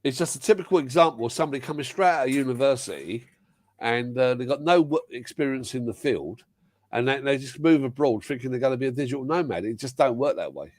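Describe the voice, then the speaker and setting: A man's voice: medium pitch, fast, a little mellow, no echo. An older man from the UK hosting a casual live-stream chat, blunt and swearing freely, often restarting his sentences. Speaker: host.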